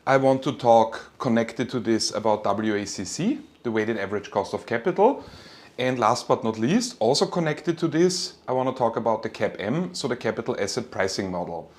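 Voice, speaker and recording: A man's voice: medium pitch, animated, normal speed. Informal male lecturer. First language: German. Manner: friendly, encouraging, informal address. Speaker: university finance lecturer. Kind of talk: live online lecture, informal.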